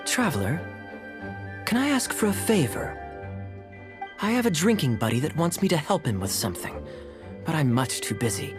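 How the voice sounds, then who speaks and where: A man voicing a suave, teasing young knight captain in a video game, smooth and wryly polite. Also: on the high side, sing-song, normal speed, long pauses. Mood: casual, persuasive. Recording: music clearly behind voice.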